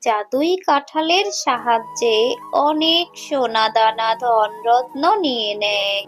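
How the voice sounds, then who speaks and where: A woman narrating a scripted children's animated story. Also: a little high, slow. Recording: music behind the voice.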